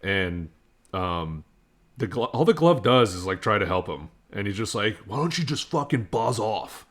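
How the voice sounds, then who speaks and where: A man with a deep voice co-hosts a gaming podcast. He talks in a casual, conversational way, like chatting among friends. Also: very animated, normal speed.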